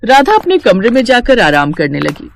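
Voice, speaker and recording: Animated woman storyteller. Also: on the high side, normal speed, gravelly, a little hiss or hum. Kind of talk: scripted Hindi moral story narration.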